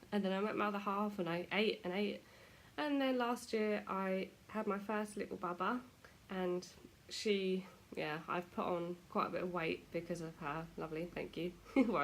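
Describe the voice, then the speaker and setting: A woman's voice: lowish voice, vocal fry. A British woman chatting casually to camera at home, self-deprecating, her nose stuffy from a cold. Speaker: vlogger.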